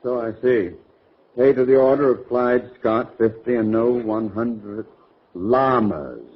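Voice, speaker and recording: An actor playing a testy, suspicious older golf rival in a vintage radio comedy, with dry, needling delivery. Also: male voice, mid-range voice, slow. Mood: skeptical. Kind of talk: 1940s-50s radio comedy acting before a live audience. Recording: muffled, noisy vintage radio recording, audience.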